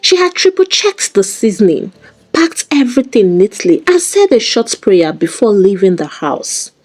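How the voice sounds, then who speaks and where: Expressive woman storyteller, mellow and swooping. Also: mid-range voice, normal speed. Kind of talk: dramatic scripted folktale narration.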